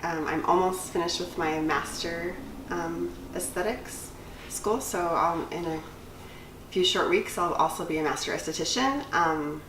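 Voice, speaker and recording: A woman's voice: on the low side, unhurried, echoey room, recorded from a distance, background noise. A woman in her late thirties co-hosting a casual vlog, rambling with many fillers. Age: 30s. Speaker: cosmetologist and instructor, channel co-host. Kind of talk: casual chat.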